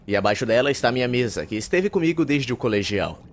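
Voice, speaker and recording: A man acts out a reclusive young male student with a Brazilian accent, narrating his anime life in pompous, formal sentences. He talks very fast and wordily at a medium pitch, with clear noise behind the voice. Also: expressive, close to an everyday voice. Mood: matter-of-fact, wry. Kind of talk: fan-dubbed anime monologue narration.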